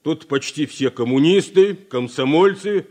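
An actor playing an earnest young party secretary in a 1980s radio drama. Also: male voice, fairly high pitch, expressive, a little slow, darkish tone.

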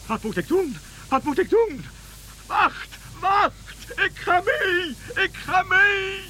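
A man's voice: very high-pitched, sing-song, slow, pushed and bright, full character voice. A man playing a timid, childlike king in a radio play, polite and stammering with hesitation. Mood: panicked. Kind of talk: Dutch radio play acting. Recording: faint background hiss.

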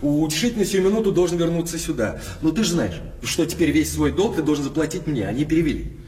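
An actor playing a conceited card swindler outraged at being outwitted, in theatrical stage comedy style with stammering repetitions and exclamations. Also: male voice, fairly high pitch, lively intonation, quick pace, warm tone, natural voice. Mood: incredulous. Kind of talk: classic Russian stage comedy acting. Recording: music behind the voice. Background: music.

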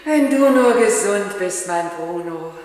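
An actress playing a fond, doting wife as a remembered voice in a German radio play, in cavernous reverb. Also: female voice, mid-range voice, expressive, a little slow, a little mellow, lightly acted. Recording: cavernous echo with long reverb.

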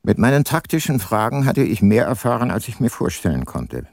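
An actor playing a brooding, probing private detective, narrating his case in a measured way in a radio crime drama. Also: mid-range voice, big pitch swings, darkish tone. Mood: brooding, reflective.

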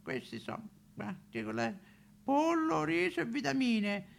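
An actor playing a boozy, cheeky, lonely homeless tramp of fallen nobility, in a rambling, foul-mouthed, singsong stage monologue with a Roman accent. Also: male voice, very high-pitched, expressive, a little slow, creaky, exaggerated voice. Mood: sly, confiding. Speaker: stage actor in a solo show. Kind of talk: theatrical comic monologue addressed to listeners.

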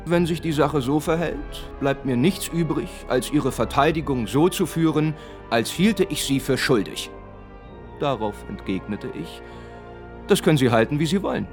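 An actor playing a self-assured, educated defendant in a radio play, articulate, ironic and defiant. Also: male voice, fairly high pitch, animated, moderate pace, over music, close to an everyday voice. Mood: coolly defiant. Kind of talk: German radio play acting.

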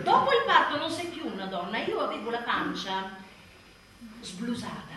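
Frazzled new mom monologue, fairly high voice swinging all over, theatrical, mic far off with faint noise. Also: female voice. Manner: expressive, emphatic, with abrupt swings in volume. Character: overwhelmed, inadequate new mother, wry and exasperated. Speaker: stage actress performing a monologue. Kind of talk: theatrical comic-dramatic monologue. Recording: echoey room, distant mic, faint noise.

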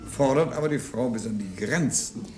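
An actor playing a smug, callous ruler speaking in a radio drama. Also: male voice, medium pitch, normal speed, a little mellow, natural voice. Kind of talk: 1970s German radio drama acting. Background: music.

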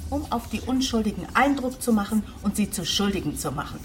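A woman's voice: mid-range voice, expressive, fairly quick, slight background noise, lightly acted. An actress in a radio drama playing a wary, blunt, superstitious woman who keeps a hotel. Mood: ominous, knowing.

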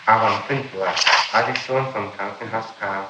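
A man questioning formally as an interrogator and reading documents aloud in a halting, stumbling way, on an old archival tape. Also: on the low side, fairly even intonation, reverberant, over loud music, low-quality recording. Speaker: police or judicial interrogator.

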